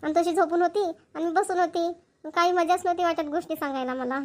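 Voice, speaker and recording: A woman performs an excitable young cartoon woman. She chats in a familiar, exclamatory village style, like a close sister in a homely comedy. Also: very high-pitched, fast. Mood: chatty, affectionate. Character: chatty, excitable young Marathi women, two close sisters. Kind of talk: Marathi cartoon comedy dialogue.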